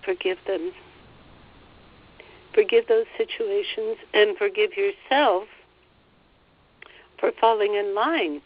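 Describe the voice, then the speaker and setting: Slow, soothing woman guiding meditation. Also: mid-range voice, highs cut off. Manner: gentle, soothing, repeats phrases. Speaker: spiritual teacher leading a meditation. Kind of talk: guided meditation, relaying a guide's words.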